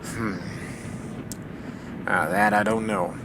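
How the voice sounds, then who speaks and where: A man playing a matter-of-fact, measured amateur sleuth in a period radio mystery. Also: fairly even intonation. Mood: measured, deliberating. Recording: train rumble behind the voice.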